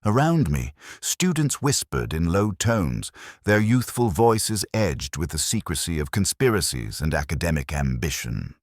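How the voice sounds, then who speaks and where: A man narrating a scripted first-person detective story in a measured, literary, reflective manner. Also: low-pitched, sing-song, no echo, studio quality.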